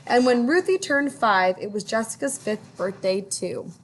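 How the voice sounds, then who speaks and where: A woman teacher reading a picture book aloud to children, gently stopping to ask them questions. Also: a little high, lively intonation, slight background noise, rough recording. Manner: gentle, pauses to ask young listeners questions.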